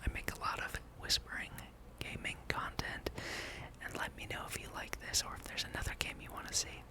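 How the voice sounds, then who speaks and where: A person speaking in a breathy whisper, gently rambling and musing aloud while hosting an ASMR gameplay video. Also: brisk. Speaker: gamer and video host.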